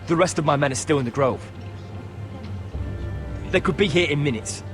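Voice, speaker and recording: A man's voice: a little high, normal speed, over music, lightly acted. A man playing an eager, combative soldier captain in a fantasy video game, urging action like a conspirator. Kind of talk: dramatic video game acting.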